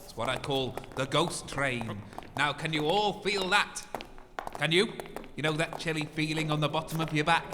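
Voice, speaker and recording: A man's voice: fairly high pitch, background noise, lightly acted. A man playing a theatrical, dodgy ghost-tour medium in a comedy audio drama, portentous and easily flustered. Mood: mysterious. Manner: melodramatic, portentous, drawn-out. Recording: long hall reverb.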